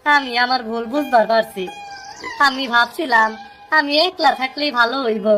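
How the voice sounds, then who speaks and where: A woman's voice: high voice, normal speed. A woman voicing a poor young cartoon fisherman, earnest and pleading, in eastern Bengali rural dialect. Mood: remorseful pleading. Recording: loud music behind the voice.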